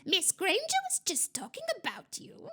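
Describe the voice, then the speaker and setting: A woman's voice: high-pitched, huge pitch swings, unhurried, pitched above the performer's own voice, exaggerated voice. A woman voicing a sly male poltergeist who taunts with mock sweetness and jeering bellows in a storybook. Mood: spiteful hissing. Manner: wheedling sweet talk that breaks into bellowed jeers.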